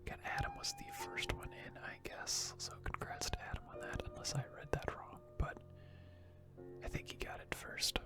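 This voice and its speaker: Whispering ASMR gamer over music. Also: moderate pace. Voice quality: breathy, unvoiced whisper. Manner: rambling, hesitant. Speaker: gaming video host. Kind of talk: whispered ASMR gaming commentary.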